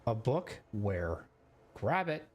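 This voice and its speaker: A man's voice: lowish voice, big pitch swings, slow pace, darkish tone, dull audio. Casual young male game streamer, rambling with lots of filler, desk mic, faint room hum. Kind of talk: casual gameplay commentary.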